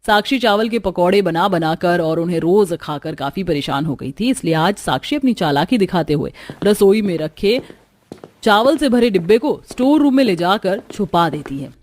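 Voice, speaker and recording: A woman's voice: fairly low pitch, animated, normal speed, a little mellow, no room sound. A woman narrating a scripted cartoon story for children.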